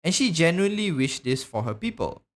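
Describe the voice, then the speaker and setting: A man narrating a scripted video essay in a measured, explanatory way. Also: fairly high pitch, big pitch swings. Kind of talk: scripted narration, game lore analysis.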